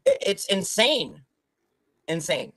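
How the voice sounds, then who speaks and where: A woman's voice: medium pitch, very animated, slow pace, a bit bright, dry recording. A woman livestreamer ranting in a blunt, profane, rambling way, often restarting her sentences. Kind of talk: casual livestream chat.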